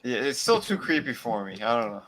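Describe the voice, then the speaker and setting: A man's voice: mid-range voice, big pitch swings, fairly quick, over a noisy crowd. A man chatting casually and bluntly about wrestling, often repeating himself.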